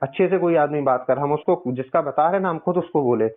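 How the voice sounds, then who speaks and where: A man's voice: fairly high pitch, a little fast. A man on a casual phone call, talking insistently as a caller, explaining at length and repeating himself. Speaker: caller, loan customer. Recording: tinny, narrow-band phone line.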